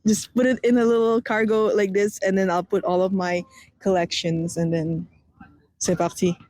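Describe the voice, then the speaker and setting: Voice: mid-range voice, flat delivery, moderate pace, a little mellow, no echo. A radio interviewer of Filipino heritage asking long, rambling questions full of fillers.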